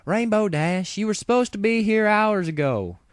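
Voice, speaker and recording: A man's voice: sits high, animated, normal speed, clean tone, no room sound, clean studio sound, pitched above the performer's own voice. A man voicing a folksy, flustered farm mare in a storybook reading, exasperated and prone to stammering.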